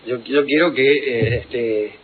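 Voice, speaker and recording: A man hosting radio, telling ironic, digressive stories with quick asides. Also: medium pitch. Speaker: radio host. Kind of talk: humorous radio talk. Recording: lo-fi phone-like archive radio with noise.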